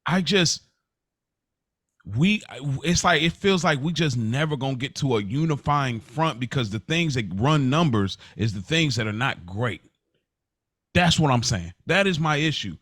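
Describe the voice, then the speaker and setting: A man's voice: medium pitch, expressive, a little slow, a bit bright, dry recording, clean studio sound. A man co-hosting a podcast, debating casually and earnestly, often restarting and repeating his point. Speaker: podcast co-host.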